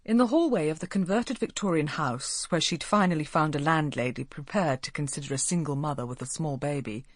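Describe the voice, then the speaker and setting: A woman's voice: sits low, fairly quick, no room sound. Woman narrating an audiobook, close and dry. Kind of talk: scripted story narration.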